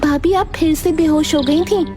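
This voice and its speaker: Woman as very high-pitched, excitable young sister-in-law. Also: moderate pace, music in the background, close to an everyday voice. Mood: worried.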